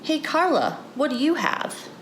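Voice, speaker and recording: A woman's voice: high voice, big pitch swings, unhurried, pitched above the performer's own voice. A woman voicing a disgusted, teasing young schoolboy in a storybook, blunt and scornful. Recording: roomy echo, background noise.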